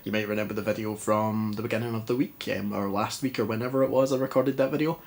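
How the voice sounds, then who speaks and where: A man's voice: lowish voice, steady tone, fast. A Scottish man with a stuffy, congested voice, chatting casually and mocking himself while testing an old console in a dim living room. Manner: chatty, self-mocking, rambling. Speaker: retro game vlogger. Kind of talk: casual chat.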